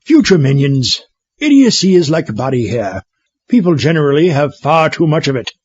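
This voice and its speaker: A man's voice: a little high, big pitch swings, moderate pace, darkish tone, slightly rough. A man playing a haughty supervillain in a comedy audio drama, pompous and condescending. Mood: haughty, contemptuous. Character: haughty, condescending male supervillain running for dictator. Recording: dull lo-fi, faint music behind.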